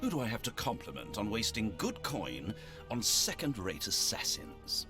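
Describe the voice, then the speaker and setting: A man's voice: fairly low pitch, huge pitch swings, normal speed. An actor playing a wry, world-weary nobleman commander in a fantasy game cutscene, with an urbane, sardonic and theatrical manner. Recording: voice over loud background music.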